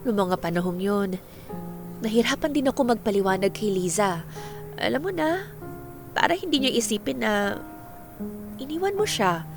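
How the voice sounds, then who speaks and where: Actress as chatty, high-voiced best friend. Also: female voice, normal speed, pauses often, over music. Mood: sympathetic. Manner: expressive, teasing, gossipy. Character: chatty, teasing married woman, loyal best friend. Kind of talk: Filipino radio drama acting.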